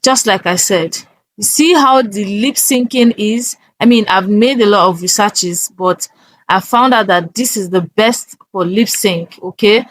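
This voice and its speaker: A woman's voice: medium pitch, a little slow, dry recording. A woman presenting a casual step-by-step tutorial, instructive and often checking that the listener is following.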